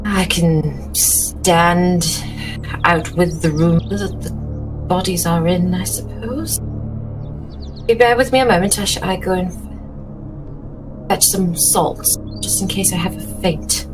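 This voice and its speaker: A woman voicing a nervous, easily affronted genteel lady with halting, formal, old-fashioned speech in a tabletop roleplaying game. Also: on the low side, steady tone, voice pushed down, poor audio. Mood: anxious, proud. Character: shaken, nervous, proudly indignant genteel lady. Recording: music playing behind the voice.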